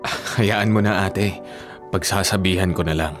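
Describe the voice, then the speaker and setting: An actor with a Filipino accent playing a devoted, soft-hearted young husband in a radio drama, speaking earnestly and gently. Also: male voice, sits low, steady tone, normal speed, lightly acted. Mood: mildly defensive. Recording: music bed behind dialogue.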